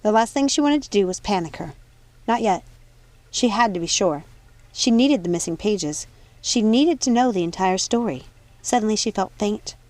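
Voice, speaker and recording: A woman's voice: medium pitch, lively intonation, normal speed, a little mellow, no echo. A woman reading an audiobook as narrator, in a dramatic scripted storytelling style. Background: music.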